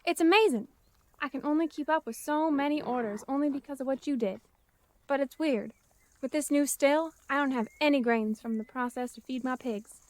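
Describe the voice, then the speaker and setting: A woman's voice: sits high, moderate pace, a little mellow, close to an everyday voice. A woman acting a hospitable country moonshiner with a Southern US accent in an amateur audio drama. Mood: worried.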